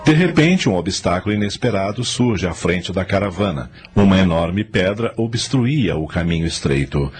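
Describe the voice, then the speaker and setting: A man with a low voice narrates a dramatic serial. The delivery is scripted storytelling. Music runs clearly beneath the voice. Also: expressive, normal speed, few pauses.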